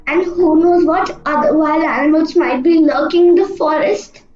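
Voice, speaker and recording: A woman playing a sensible, bossy young sister in a radio play, brisk and scolding. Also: high voice, darkish tone, close to an everyday voice. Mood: scolding, insistent. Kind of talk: amateur radio play acting. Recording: muffled, echoey room recording.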